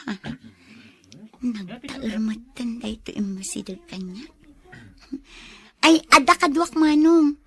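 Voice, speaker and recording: A woman's voice: fairly high pitch, slow pace, pauses often. An actress playing a polite, caring young woman in a radio drama, with a Filipino accent. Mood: shy, polite. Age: young adult.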